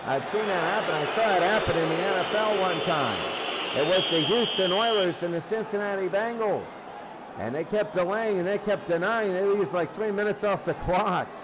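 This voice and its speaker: High-voiced man calling football, noisy recording. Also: normal speed. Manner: excitable, short repetitive phrases. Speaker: play-by-play sports commentator. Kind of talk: American football radio-style commentary.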